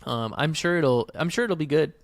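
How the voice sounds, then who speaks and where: A man co-hosting a podcast in casual discussion, halting as he thinks aloud and checking in with his listener. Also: a little high, lively intonation, dry recording, clean studio sound. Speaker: podcast co-host.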